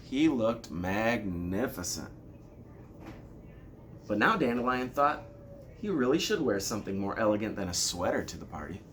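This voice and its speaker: A man with a fairly low voice reads a children's story aloud. His delivery is deliberate and expressive, and there is noticeable noise behind him. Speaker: elementary school principal reading aloud.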